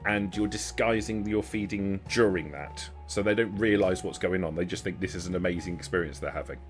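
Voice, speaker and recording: A man's voice: low-pitched, brisk, soft background music. A man as game master, narrating and explaining a tabletop roleplaying game in an explanatory, improvised way.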